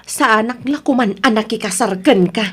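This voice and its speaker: A woman's voice: on the high side, moderate pace, close to an everyday voice. A woman playing an unhappy wife and mother who wants her freedom, in an Ilocano radio drama. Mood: bitter, resolute.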